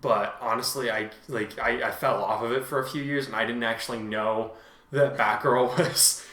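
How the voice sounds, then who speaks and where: A man's voice: medium pitch, fairly even intonation, moderate pace, fairly bright tone, roomy sound. A man with a mid-range voice works as host and narrator. He talks casually and also reads stories aloud with drama. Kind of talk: casual chat and dramatic story reading.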